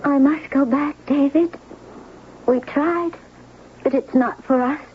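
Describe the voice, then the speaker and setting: A woman playing a gentle, devoted, otherworldly young woman of the sea in a 1970s radio drama, tender and solemn. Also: high voice, slow, soft background music. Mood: resigned. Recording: muffled, noisy 1970s radio recording.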